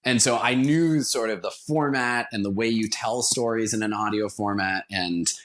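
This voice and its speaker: A man's voice: fairly low pitch, normal speed, fairly bright tone. A man speaking as a guest in a casual podcast interview, with an enthusiastic, conversational manner. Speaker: interview guest, radio theater producer.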